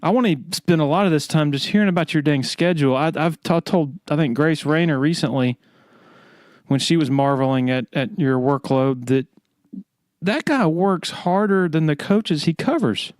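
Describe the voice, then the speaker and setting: A man's voice: fairly high pitch, animated, normal speed, darkish tone, dry recording, clean studio sound. A man hosting a podcast, speaking casually and informally. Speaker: podcast host, interviewer. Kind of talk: casual podcast talk and interviewing.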